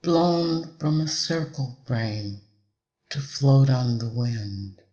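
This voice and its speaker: A man delivering a hypnotic induction in a measured, deliberate way, with long pauses between short phrases. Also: mid-range voice, warm tone, lo-fi sound.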